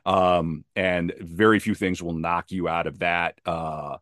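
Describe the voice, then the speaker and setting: A man with a low voice, recorded close and dry. He talks as a podcast guest, earnest and discursive, circling back and repeating words to press his point. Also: little pitch movement, takes it slowly, a bit bright, no echo. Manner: earnest, discursive, emphatic repetition. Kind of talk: casual reflective conversation.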